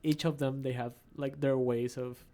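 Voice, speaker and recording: A Peruvian man with a Spanish accent and a gravelly, creaky mid-range voice. He chats casually as a guest, pausing to search for words in his second language. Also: medium pitch, little pitch movement, mellow. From Peru. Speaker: podcast guest, travelling artist. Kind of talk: casual interview chat.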